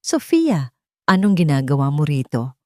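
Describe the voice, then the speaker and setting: A woman's voice: sits low, sing-song, a little slow, warm tone, no echo, studio quality. A woman storyteller voicing a powerful rich male landowner, a husband who is cold, formal, curt and firm.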